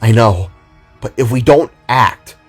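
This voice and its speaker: A man voicing an earnest, worried little hero in an audio drama, speaking with deliberate urgency. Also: mid-range voice, husky, faint music behind. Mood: determined.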